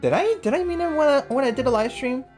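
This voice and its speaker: A woman giving casual, excitable, slangy commentary as she hosts a game playthrough. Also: high-pitched, quick pace. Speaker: gaming video host. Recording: game music behind.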